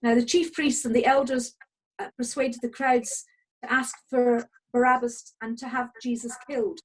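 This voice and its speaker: A woman's voice: a little high, steady tone, normal speed, low-quality recording. A woman reading scripted scripture narration. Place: online video meeting from home.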